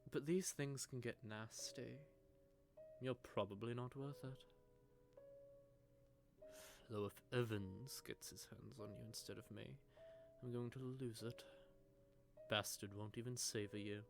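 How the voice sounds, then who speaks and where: A man playing a smug, sardonic vampire who taunts a captive in a teasing, drawling, conversational monologue, with a gravelly voice. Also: mid-range voice, steady tone, a little slow, long pauses. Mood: musing, possessive. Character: smug, sardonic male vampire gloating over a captive hunter. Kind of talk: scripted audio roleplay monologue addressed to the listener. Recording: background music under the voice.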